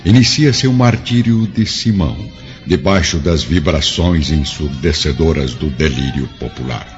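A man narrating a radio drama in a solemn, grandiloquent, dramatic scripted style. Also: low-pitched. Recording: dull lo-fi radio drama with music.

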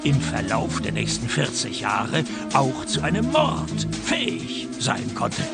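A world-weary private eye narrating a radio crime comedy. His delivery is sarcastic and deadpan in wording, with a gravelly creak and lively pitch movement. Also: takes it slowly, loud music behind the voice, full character voice. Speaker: lead character and narrator.

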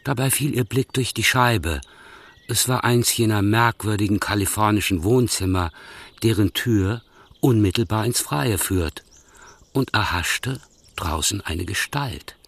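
A man narrating a literary radio play in a husky voice, measured and musing. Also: fairly low pitch, fairly bright tone.

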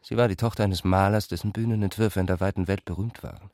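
A man's voice: low voice, steady tone, quick pace, darkish tone, no room sound, studio quality. A man reading scripted literary prose as a narrator in a radio adaptation.

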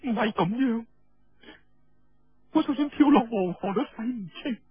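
A woman's voice: fairly high pitch, expressive, unhurried, husky, no echo, phone-quality sound, soft background music, pitched above the performer's own voice, exaggerated voice. A man voicing a wronged, proud young woman warrior in a storytelling broadcast, tearful, wailing and defiant. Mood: wounded, tearful.

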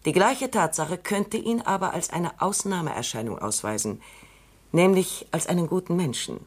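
A cool, exacting female scientist in a radio play. Her voice is very low for a woman, measured and precise as she lays out her arguments. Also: lively intonation, slightly rough. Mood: lecturing. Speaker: robot psychologist Dr. Calvin. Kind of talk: radio drama acting.

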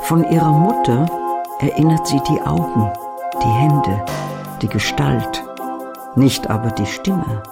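Radio drama narrator, medium register, scripted literary reading against a loud music bed. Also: mid-range voice, takes it slowly, vocal fry, long pauses. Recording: studio voice under loud music.

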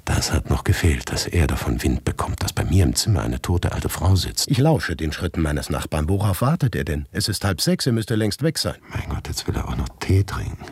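Deep, husky man narrating dramatically. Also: sing-song, a little fast. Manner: intense, shifting between hushed and agitated. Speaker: actor narrating a radio play in the first person. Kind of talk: dramatic literary radio monologue.

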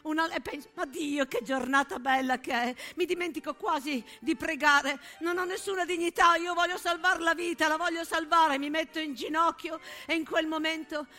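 A woman acts out a condemned villager in a war stage piece, high and bright. The telling is desperate and vivid, over faint music. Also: steady tone, moderate pace, hardly any pauses. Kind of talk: theatrical war monologue.

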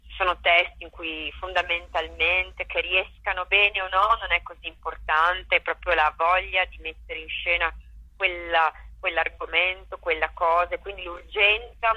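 Bright-voiced Italian woman talking theatre. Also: fairly low pitch, steady tone, moderate pace, slightly rough, no room sound, muffled recording. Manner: reflective, searching for words with many restarts. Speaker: theatre director and actress. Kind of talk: conversational interview.

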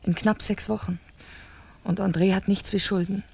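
An actress playing a cold, impatient wife who plots murder, insistent and clipped, in a 1970s crime radio play. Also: female voice, mid-range voice, steady tone, moderate pace, gravelly, darkish tone, breathy edge.

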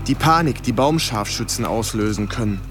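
An actor playing a former soldier who recounts war in a sober, precise first-person radio drama narration. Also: male voice, medium pitch, expressive, moderate pace, lightly acted. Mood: sober, haunted. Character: male ex-soldier soberly recalling war horrors. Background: music.

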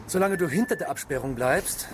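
An actor playing an officious, suspicious police guard in a radio drama. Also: male voice, a little high, lively intonation, fairly quick, noisy background, natural voice. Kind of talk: naturalistic radio drama dialogue.

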